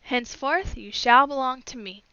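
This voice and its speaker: A woman's voice: sits high, moderate pace, bright, forceful tone, clear, resonant tone, dry recording, highs cut off. A woman voicing a gallant, smitten young prince in a fairy tale, courteous and earnest.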